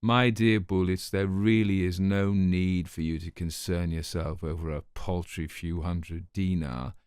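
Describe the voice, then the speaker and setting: A man voicing a smooth, patronising wealthy baron in a dramatised crime story. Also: sits low, level tone, a little slow, darkish tone, dry recording. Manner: condescending, suave.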